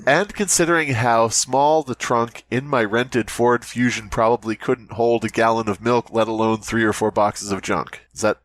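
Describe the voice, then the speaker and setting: A man's voice: steady tone, normal speed, hardly any pauses, a bit bright, no room sound. A man co-hosting a comedy podcast, reading a story aloud and breaking off to joke, swear and laugh. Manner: laughing, swearing, breaks into squeaky silly voices. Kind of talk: casual comedic reading with riffing.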